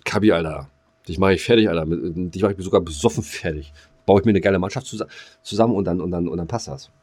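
Male podcast co-host. Lowish voice, rapid delivery, rambling slangy banter with crude edges. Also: a little mellow.

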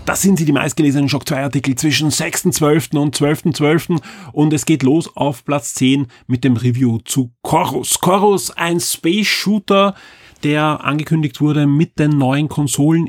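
A man hosting a podcast on his own, slightly hoarse and talking in a chatty, informal, upbeat way with the odd filler sound. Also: on the high side, no room sound, clean studio sound. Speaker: podcast host. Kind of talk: solo podcast announcements.

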